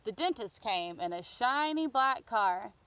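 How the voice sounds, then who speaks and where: A woman's voice: a little high, expressive, measured pace, sharp tone, clear, resonant tone, rough recording. A woman reading a picture book aloud expressively for young children. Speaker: children's storybook reader.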